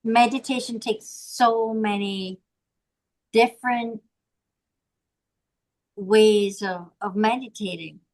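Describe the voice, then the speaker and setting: A woman guest on a podcast, an intuitive artist, speaking in a measured, earnest way. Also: medium pitch, steady tone. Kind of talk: casual podcast conversation.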